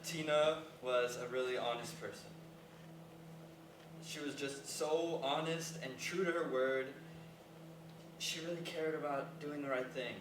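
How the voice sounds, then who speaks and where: Sincere, insistent young guy for stage drama, read by a man; roomy sound with clear noise. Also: medium pitch, fairly even intonation, a little slow, pauses often, lightly acted. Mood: earnest, solemn. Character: earnest, insistent young man confronting a liar. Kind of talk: staged play reading. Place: echoey room at a staged reading, far mic. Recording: distant mic, echoey noisy room.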